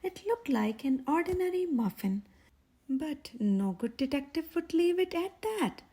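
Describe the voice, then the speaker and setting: A woman reading a children's story aloud in an expressive, breathy voice. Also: sits high, a little slow. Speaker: children's story reader, channel host.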